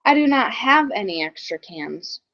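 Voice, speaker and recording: A woman's voice: on the high side, sing-song, normal speed, a bit bright, full character voice. A woman voicing a nervous, kind-hearted first-grade boy in a children's story read-aloud. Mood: guarded. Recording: dull lo-fi recording.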